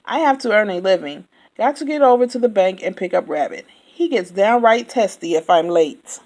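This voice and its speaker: A woman voicing a chatty male yak taxi driver who never stops talking, loud and indignant. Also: mid-range voice, lively intonation, normal speed, close to an everyday voice. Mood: chattering.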